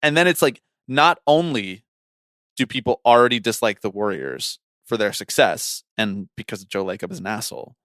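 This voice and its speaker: A man's voice: lowish voice, animated, normal speed, a bit bright, no room sound. A man co-hosting a podcast in casual sports chat, blunt and opinionated, prone to swearing. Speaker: podcast co-host.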